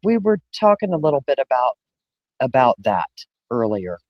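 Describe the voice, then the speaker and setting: A man co-hosting a casual discussion, explaining his thinking in a measured, methodical way. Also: medium pitch, very animated, no echo, lo-fi sound.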